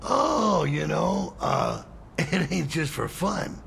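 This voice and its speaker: A cocky middle-aged crime boss from a video game, heavily gravelled and unhurried. He talks in a halting, playful drawl that swings up and down. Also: fairly high pitch, sing-song, fairly bright tone, slight background noise, exaggerated voice. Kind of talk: cinematic video game acting.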